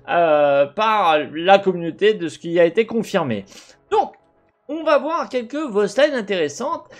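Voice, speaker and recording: Animated young Frenchman hosting gaming commentary. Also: high-pitched. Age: young adult. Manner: animated, halting, asks himself questions. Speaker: gaming video host. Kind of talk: casual speculative commentary to viewers. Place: indoor gaming setup.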